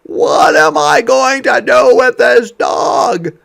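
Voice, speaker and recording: An exasperated picture-book mother as a man acts her out, fed up with a troublesome dog. The voice jumps up high and swings widely, with loud, annoyed emphasis. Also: expressive, takes it slowly, creaky, full character voice.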